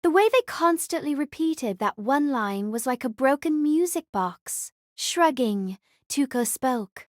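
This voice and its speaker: A woman reading a novel as audiobook narrator, in a measured, literary storytelling manner. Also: high-pitched, animated, dry recording, studio quality.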